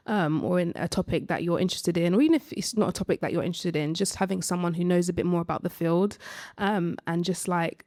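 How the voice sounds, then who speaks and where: A woman panelist talks casually and informally, giving advice in a flat, even tone. Her voice sits a little low, and the recording is dry. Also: dry recording. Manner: informal, chatty, frequent "like".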